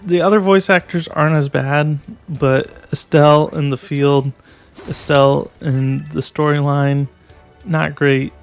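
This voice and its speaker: A young man with a gravelly, creaky voice talks through a casual game review in a flat, level tone. He hesitates and restarts his sentences often, and faint music plays beneath him. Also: fairly high pitch, unhurried. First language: English.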